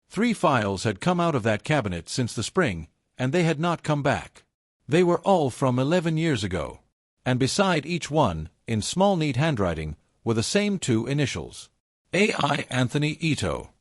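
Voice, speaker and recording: A man's voice: mid-range voice, dry recording. Man narrating dramatic fiction, very dry close sound. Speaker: audiobook narrator.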